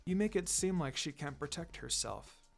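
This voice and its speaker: Gentle androgynous teen boy, read by a man, soft-spoken, polite, measured storybook delivery over music. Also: fairly high pitch, animated, gravelly. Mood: gently chiding. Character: gentle, pretty teenage boy, mistaken for a girl.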